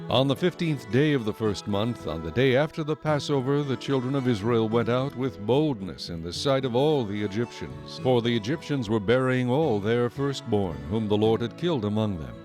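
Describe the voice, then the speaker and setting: A man narrating a dramatized audio Bible in a solemn, measured storytelling manner. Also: mid-range voice. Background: music.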